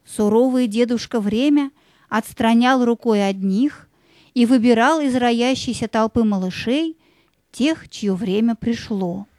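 A woman narrator tells a fairy tale in a measured, expressive way. Her voice is slightly high and mellow, and the recording is dry and close. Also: dry recording.

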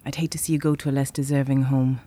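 A woman's voice: a bass voice, flat delivery, fast, warm tone. An actress playing a frank, playful, independent woman in a naturalistic audio drama. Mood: sad, wry.

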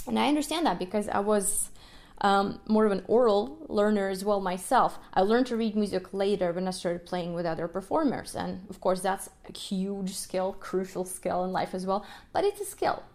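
A young adult woman from Ukraine, a native Russian speaker, hosting a solo podcast as a music educator. She talks in an animated way, often checking in with "right?" and laughing as she goes. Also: medium pitch, moderate pace.

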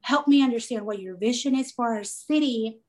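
Twenty-something woman interviewing a guest, bit higher pitched, super excited and gushy. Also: normal speed. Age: 20s. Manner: gushing, enthusiastic, warm-hearted praise. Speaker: interviewer host. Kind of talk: casual interview.

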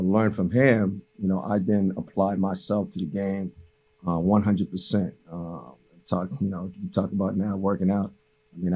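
Low, husky, mellow male coach. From born in Haiti, raised in the Boston area from age eight. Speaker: college basketball coach, podcast guest. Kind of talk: casual reflective interview talk. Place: podcast studio.

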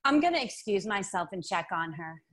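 Lively, friendly neighbour, played by a woman. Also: medium pitch, brisk. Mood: friendly, polite. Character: friendly, upbeat female family friend and neighbour. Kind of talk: radio drama acting.

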